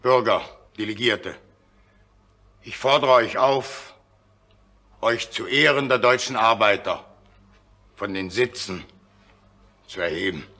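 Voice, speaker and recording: An actor in a German radio drama playing a solemn, fervent revolutionary delegate, declaiming in measured phrases to an assembly. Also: male voice, lowish voice, sharp tone, exaggerated voice. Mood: solemn, rousing.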